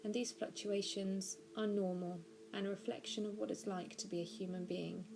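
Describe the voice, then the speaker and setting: A woman narrating a guided body scan meditation, giving gentle, measured instructions slowly with pauses. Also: medium pitch, little pitch movement, vocal fry, poor audio. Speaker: mindfulness practitioner guiding a meditation.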